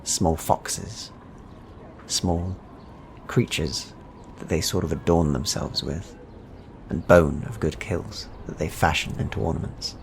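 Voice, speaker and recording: Deep-voiced man narrating a fantasy game. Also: fairly even intonation, slow pace, vocal fry, slight background noise. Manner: descriptive, evocative storytelling. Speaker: game master.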